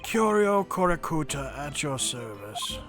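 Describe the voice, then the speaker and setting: A man's voice: on the high side, very animated, measured pace, vocal fry, music in the background, full character voice. A man voicing a pompous old antique dealer in a courtroom game dub, speaking in florid, long-winded phrases. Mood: grandly self-introducing. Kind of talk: fan-dubbed courtroom game acting.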